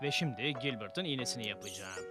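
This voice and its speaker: A man acting as a friendly cartoon vet with a mid-pitched, very lively intonation. He talks kindly and reassuringly, with music behind him. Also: fast talker, lightly acted. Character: friendly, reassuring adult male veterinarian. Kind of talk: children's cartoon dub.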